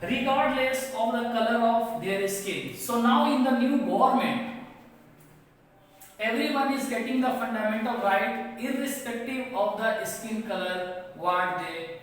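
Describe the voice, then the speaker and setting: A woman teacher with an Indian English accent, reading a textbook passage aloud to students and explaining it line by line in simple words. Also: on the high side. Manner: slow, repetitive, reads a line then paraphrases it. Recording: echoey room from far off.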